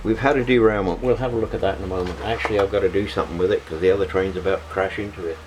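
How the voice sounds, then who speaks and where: Low-voiced man, halting, noisy recording. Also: steady tone. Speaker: hobbyist vlog host. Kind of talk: casual unscripted show-and-tell narration.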